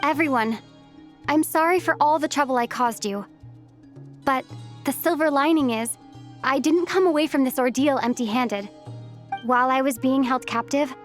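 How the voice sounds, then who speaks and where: Anime game adventurer, female actor: young, earnest, clearly high-pitched. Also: lightly acted.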